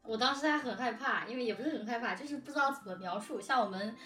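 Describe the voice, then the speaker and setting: A woman's voice: on the high side, fast talker, pushed and bright. A woman interviewing in a casual, informal chat style, a bit giggly in manner. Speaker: interviewer, drama producer. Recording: roomy, distant mic, faint music behind. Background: music.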